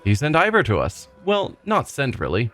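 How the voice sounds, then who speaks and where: A man's voice: mid-range voice, huge pitch swings, normal speed, a bit bright. A man voicing a cheerful, simple-minded male village fisherman who rambles and repeats himself proudly. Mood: earnest. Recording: faint game music behind.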